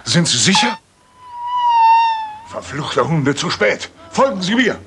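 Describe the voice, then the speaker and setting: An actor playing a desperate, hot-headed rich gentleman in a 1980s German radio drama. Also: high voice, wildly swooping pitch, a little slow, over loud music, exaggerated voice. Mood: agitated. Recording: noisy retro radio recording.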